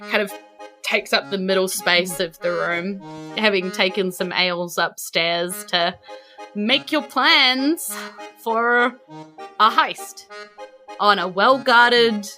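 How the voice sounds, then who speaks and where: Bright-voiced New Zealand woman hosting a game. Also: medium pitch. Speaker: game master and podcast host. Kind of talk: narrating a tabletop game and chatting to listeners.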